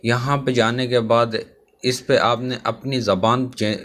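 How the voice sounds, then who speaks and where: A man's voice: mid-range voice, steady tone, a little slow, fairly bright tone. A man presenting a casual app tutorial as a YouTube host, chatting to viewers as friends.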